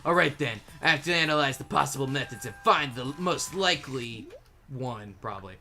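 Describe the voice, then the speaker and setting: Bright voice, swingy pitch, casual gaming chat, reading on-screen text, sweary and easily amused, light music behind. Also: animated, fairly quick. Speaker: player and fan dub voice reader.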